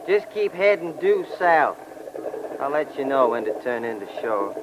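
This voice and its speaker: Noisy old radio drama, tough criminal, rough colloquial talk, commanding. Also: male voice, mid-range voice, very animated, unhurried. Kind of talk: 1940s radio acting.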